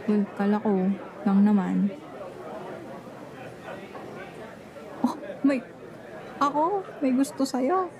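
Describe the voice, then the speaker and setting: A woman's voice: fairly high pitch, very animated, a little slow, a crowd in the background. A young woman acting a grumpy, jealous friend who feigns nonchalance in an ASMR roleplay, pouty and defensive, slipping casual English into her talk. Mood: jealous, flustered. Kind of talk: Tagalog ASMR roleplay acting, one-sided conversation.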